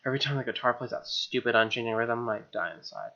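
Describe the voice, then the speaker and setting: Bright-voiced American man reading aloud. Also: mid-range voice, animated, quick pace, creaky, highs cut off. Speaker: gaming video host. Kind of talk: reading a visual novel aloud with casual reactions. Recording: game music behind.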